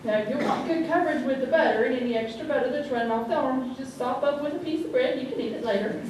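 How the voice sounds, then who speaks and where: Noisy echoing stage recording, woman acting high-pitched small-town remedy lady, folksy, chatty, cheerfully brutal, comic radio show. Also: fast, a little mellow, recorded from a distance, lightly acted. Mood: cheerfully matter-of-fact. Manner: homespun, chatty, instructive. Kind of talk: comic radio monologue before an audience.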